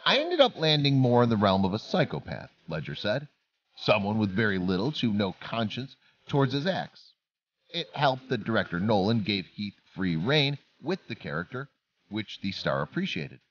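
A man's voice: fairly low pitch, sing-song, moderate pace, dull audio. A man narrating a scripted documentary-style story as a voice-over.

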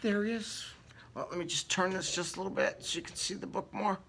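A man's voice: high voice, fairly even intonation, brisk, creaky, fairly bright tone, a little hiss or hum. A man reading a picture book aloud to children, theatrical, with casual questioning asides. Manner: theatrical, asks the young listeners questions. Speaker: children's story reader. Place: indoor room with book cut-outs.

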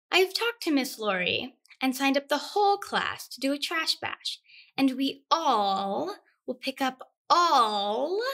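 Woman acting high-pitched, pompous, indignant classroom scold. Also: pushed and bright, heavily acted. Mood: stern lecturing. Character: indignant, self-righteous young woman lecturing seventh-grade class. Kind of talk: comedic theatrical monologue.

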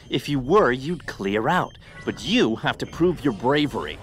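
Casting: sarcastic, worried young superhero of the future, played by a man, animated intonation, exasperated edge, game music underneath. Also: medium pitch, measured pace. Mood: sardonic, impatient. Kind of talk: video game fandub acting.

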